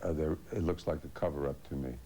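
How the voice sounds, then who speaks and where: A man, an attorney, giving a measured interview soundbite. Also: a bass voice, fairly even intonation, dark tone, a little hiss or hum.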